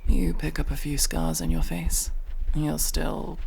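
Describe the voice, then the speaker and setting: A man playing a guarded, dry elf mercenary in an ASMR fantasy roleplay, gruffly protective and matter-of-fact, with a gravelly, sometimes breathy voice. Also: on the high side, little pitch movement, measured pace, darkish tone, slight background noise, rough recording. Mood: subdued, confiding. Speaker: voice actor in audio roleplay.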